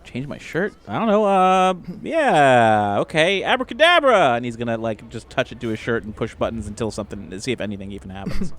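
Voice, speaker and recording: A man improvising casually as a role-playing game player, rambling and halting as he talks. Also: mid-range voice, big pitch swings, fairly bright tone. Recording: faint background noise.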